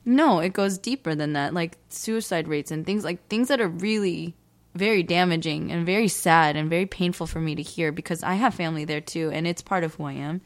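Animated woman in casual chat. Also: on the low side. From United States. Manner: animated, emphatic, quick bursts of speech. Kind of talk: casual conversation.

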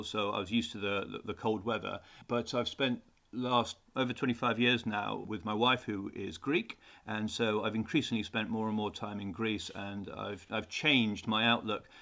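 A middle-aged man talks through podcast questions in a low voice. He speaks earnestly and often stumbles into repeated words as he restarts a thought. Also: fairly bright tone. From England. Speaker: design teacher, podcast guest. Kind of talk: conversational interview answers.

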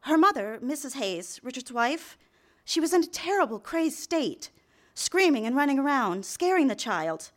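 A high-pitched young secretary in a live radio drama. She is eager and apologetic, hesitant and deferential with others, and intimate and confessional as a narrator. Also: very animated, moderate pace. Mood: confessional, rueful. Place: live on stage in a theater.

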